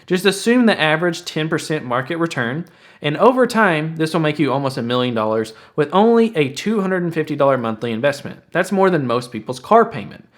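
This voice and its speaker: A man's voice: on the high side, big pitch swings, brisk. A man in his twenties hosting an explanatory finance video, speaking plainly and casually and repeating phrases for emphasis. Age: 20s. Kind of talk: explanatory investing commentary to viewers.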